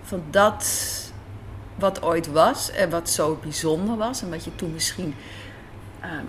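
A woman's voice: fairly low pitch, slow pace. A woman speaking reflectively in an interview in a café, thoughtful and searching for words. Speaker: radio drama writer and director, interviewee. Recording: faint café background noise.

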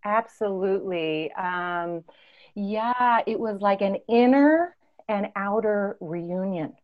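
A woman in her fifties from East Africa, an interview guest telling reflective personal stories in an expressive, emphatic way. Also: mid-range voice, takes it slowly, muffled recording. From born and raised in East Africa.

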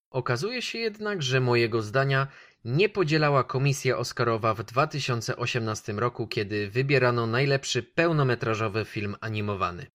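A man's voice: medium pitch, fairly bright tone. A man presenting a film review on a radio show, giving his opinions in a conversational way.

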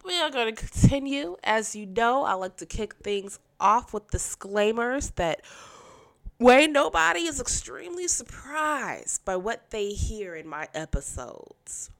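A woman's voice: fairly high pitch, big pitch swings, measured pace. A young woman hosting a casual political podcast while stuffy and nasal from a cold, talking bluntly and with sass. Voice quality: nasal, congested. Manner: blunt, sassy, emphatic. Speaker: podcast host.

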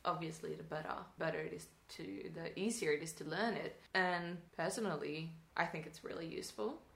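Swedish woman with a low, echoey voice. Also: a little fast, vocal fry. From Sweden. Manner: thoughtful, with restarts and laughing asides. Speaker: acting student. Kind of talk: casual interview chat.

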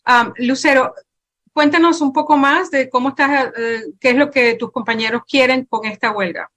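A woman with a fairly high voice hosts and interprets live. Her phrasing is halting as she pieces the translation together. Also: fairly even intonation, a bit bright. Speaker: briefing host and interpreter.

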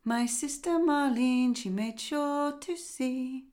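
A woman voicing an eerie singing bird, the spirit of a murdered boy, in a slow sing-song chant. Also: high voice, a little mellow, pitched above the performer's own voice. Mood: haunting chant.

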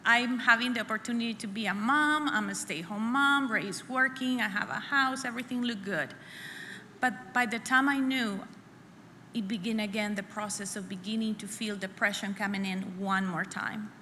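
Middle-aged woman, bright and sharp tone, earnest testimony speaker in a reverberant church hall. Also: fairly high pitch. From El Salvador. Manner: earnest and halting, repeats phrases. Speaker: conference speaker sharing her testimony. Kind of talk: personal testimony at a church women's conference. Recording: echoey hall with faint room noise.

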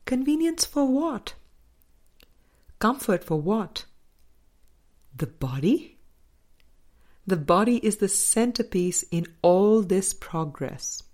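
A woman reading a spiritual book aloud as a scripted audiobook, in measured, deliberate short phrases. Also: medium pitch, very animated, a little mellow. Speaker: audiobook reader.

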